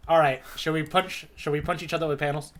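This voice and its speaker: Man with a slightly high voice, chatting casually. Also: flat delivery, fast talker, background noise. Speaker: podcast co-host. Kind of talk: casual manga discussion podcast.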